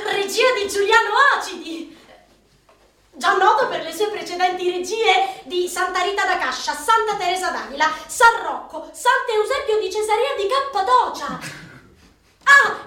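A woman's voice: very high voice, sharp tone, exaggerated voice. A young woman playing a struggling actress in a comedy radio play, chatty and ironic, with hesitant fillers. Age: young adult. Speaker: narrator and protagonist. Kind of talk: comic radio monologue. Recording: roomy, distant mic, faint noise.

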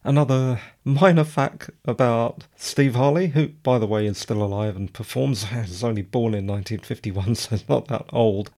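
Man, podcast host, gravelly croaky voice, chatty and self-deprecating. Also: medium pitch, normal speed, few pauses, warm tone. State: slightly croaky voice. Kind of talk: casual solo podcast talk.

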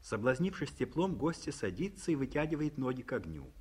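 Narrator, male, husky, lively delivery, old radio studio recording. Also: medium pitch, fairly quick. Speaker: narrator reading stage directions. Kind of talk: 1950s radio play narration.

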